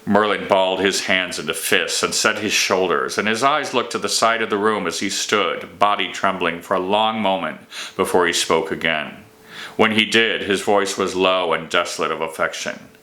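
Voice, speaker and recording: A man's voice: low-pitched, moderate pace, bright, forceful tone. A man, an author, reading his fantasy novel aloud as dramatic scripted narration.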